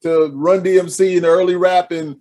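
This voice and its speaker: A man's voice: high-pitched, little pitch movement, moderate pace, mellow. A man in his fifties from Charleston hosting an interview, laughing along and offering easy affirmations. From Charleston, South Carolina. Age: 50s. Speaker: podcast host. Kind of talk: casual interview.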